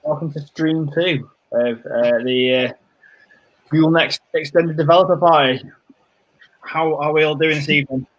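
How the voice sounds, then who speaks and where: A man's voice: on the high side, fairly even intonation, normal speed, highs cut off. A man hosting a live stream, chatting casually and circling around his questions with many fillers. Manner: rambling, full of fillers. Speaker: host.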